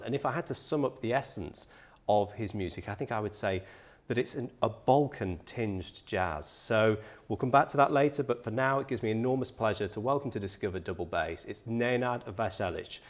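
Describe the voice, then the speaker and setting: A man's voice: lowish voice, normal speed. A man hosting an interview, introducing his guest and asking questions in a friendly, encouraging way. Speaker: interview host. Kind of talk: hosted interview.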